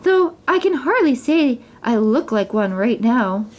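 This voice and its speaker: A woman's voice: on the high side, sing-song, measured pace, background noise. A woman voicing a polite, earnest young fairy-tale princess who speaks in an apologetic way. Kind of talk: children's storybook character reading.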